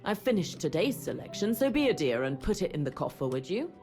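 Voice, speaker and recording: An actress playing a breezy, bossy female filmmaker in a video game, chatty and expressive, with condescending endearments. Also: on the low side, a little fast, faint music behind. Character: breezy, bossy, suspicious female filmmaker in 1930s mountain refuge.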